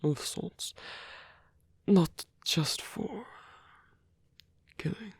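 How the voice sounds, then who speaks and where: A performer playing a lonely old male vampire in an ASMR roleplay, tender and wistful, speaking in a breathy, husky whisper with halting delivery. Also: medium pitch, animated, dry recording, exaggerated voice. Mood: sombre, reassuring.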